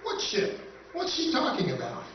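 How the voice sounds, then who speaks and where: Man acting high-voiced, analytical spaceman, very echoey. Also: huge pitch swings, a little hiss or hum. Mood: puzzled. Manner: measured, pedantic. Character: reasoning, skeptical male spaceman, calm analytical sidekick. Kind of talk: live recreation of 1950s radio science fiction. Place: convention performance room, picked up from afar. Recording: echoey convention hall, distant muffled recording.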